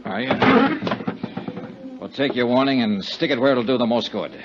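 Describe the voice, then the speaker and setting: An American actor playing a tough, wry insurance investigator in a clipped 1950s radio detective style. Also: male voice, mid-range voice, normal speed, heavy background noise, lightly acted. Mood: defiant, hard. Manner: clipped, hard-boiled delivery. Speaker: lead actor and narrator of a radio serial. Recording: dull 1950s radio recording.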